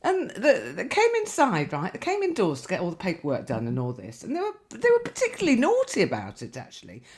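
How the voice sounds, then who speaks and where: A woman's voice: mid-range voice, huge pitch swings, fast, darkish tone. A woman, a podcast co-host telling rambling, sweary anecdotes in casual chat, her nose slightly stuffy.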